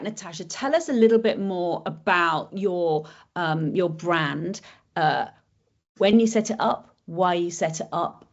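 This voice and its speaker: A woman's voice: lowish voice, lo-fi sound. A woman hosting an interview, thanking and praising her guest and posing questions, repeating words as she goes. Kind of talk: interview host.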